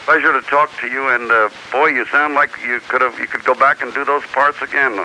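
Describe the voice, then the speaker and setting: An American man calling a radio show with casual fan questions, speaking haltingly with frequent restarts. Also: mid-range voice, steady tone, bright, forceful tone. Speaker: radio phone-in caller. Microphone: telephone. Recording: phone call on hissy radio cassette.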